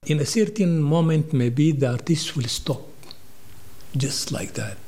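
Husky man with a highish, lively voice. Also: slow. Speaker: interviewed writer. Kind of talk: spontaneous interview statement.

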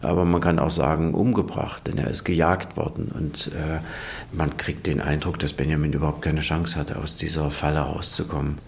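A man speaking as an interviewed expert, deliberate and pausing for words. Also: very deep voice, flat delivery, gravelly. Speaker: interviewed archive director.

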